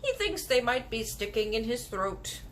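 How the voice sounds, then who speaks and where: Brief: gentle stubborn old giant, slow and lilting, high for a giant, a woman reading it aloud. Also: big pitch swings, slight background noise. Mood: confiding. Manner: slow, mangled grammar and made-up words. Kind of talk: storybook reading.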